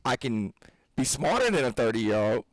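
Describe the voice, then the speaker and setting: A man's voice: mid-range voice, sing-song, normal speed, no echo, poor audio. Man, 30s, podcast co-host, rambling, earnest, dry recording. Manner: earnest, preachy, rambling with restarts. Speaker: podcast co-host, fitness coach. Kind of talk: casual podcast discussion.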